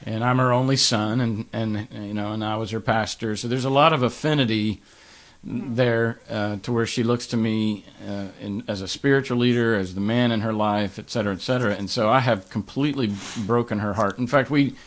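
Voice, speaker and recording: A man's voice: fairly low pitch, steady tone. Earnest middle-aged podcast host man. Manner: earnest, reflective, halting when personal. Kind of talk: podcast intro and interview.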